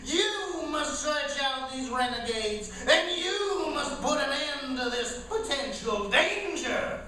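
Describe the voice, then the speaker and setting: A man acting a zealous western agitator, slow emphatic oratory, bright forceful tone, radio theater, distant mic. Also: very high voice, lively intonation, exaggerated voice. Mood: urgent, rousing. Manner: emphatic, speechifying, demagogic. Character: zealous rabble-rousing frontier man stirring settlers to arms. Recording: echoey room, distant mic, faint noise.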